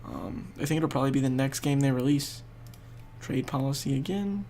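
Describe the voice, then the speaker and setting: Young male gaming host, congested and gravelly, rambling play-by-play. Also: medium pitch, normal speed, dark tone, a little hiss or hum. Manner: rambling, thinking aloud while playing. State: congested, losing his voice. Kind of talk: casual gameplay commentary.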